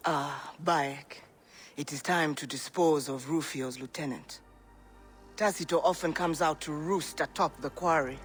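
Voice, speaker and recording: An actor playing an assassin's grim informant ally in a video game, giving a deliberate briefing. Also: male voice, on the high side, fairly bright tone, soft background music. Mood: grim, purposeful. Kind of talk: dramatic video game cutscene acting.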